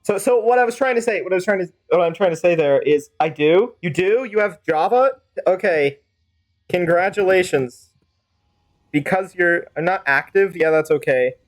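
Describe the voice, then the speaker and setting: High-voiced man rambling on a game stream. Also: lively intonation, normal speed. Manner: rambling, chatty. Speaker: livestreamer. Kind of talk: casual game stream commentary with playful role-play. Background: game music.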